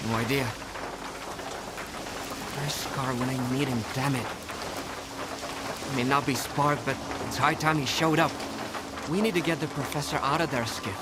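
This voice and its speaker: An actor playing a worried, blunt stalker fighter in a video game drama. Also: male voice, mid-range voice, normal speed, long pauses, lightly acted. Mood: tense, commanding. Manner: blunt, swearing, urgent. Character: gritty, worried male stalker fighter in a post-apocalyptic zone. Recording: loud rain and ambient noise.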